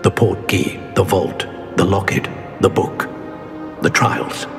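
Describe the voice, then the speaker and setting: An actor playing a solemn old wizard in an enchanted portrait for a video game, measured and formal, in long reverb. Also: male voice, sits low, steady tone, over music. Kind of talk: cinematic video game acting.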